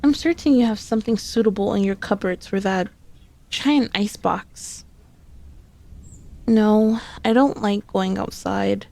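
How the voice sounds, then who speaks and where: A woman's voice: medium pitch, a little slow, vocal fry, darkish tone. A woman playing a sassy, sneaky, possessive centuries-old female demon in an ASMR roleplay, speaking in a haughty, teasing, formally old-fashioned way. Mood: grumbling, indignant. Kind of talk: ASMR roleplay, scripted character acting.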